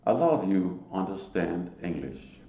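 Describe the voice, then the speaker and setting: A man from Denmark with a Danish accent, giving a solo religious talk to camera in a halting, emphatic way. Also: low-pitched, sing-song, gravelly, mellow, reverberant. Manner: slow, halting, emphatic, with short laughs. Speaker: lay preacher.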